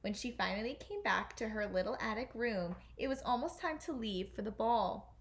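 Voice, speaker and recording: A woman teacher reading a children's fairy tale aloud in an expressive storytelling style. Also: fairly high pitch, moderate pace.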